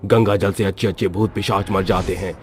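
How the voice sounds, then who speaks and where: A man's voice: deep voice, steady tone, a little fast, a little hiss or hum, lightly acted. A man voicing a stern old village exorcist in a horror story, grave and threatening. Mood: shocked.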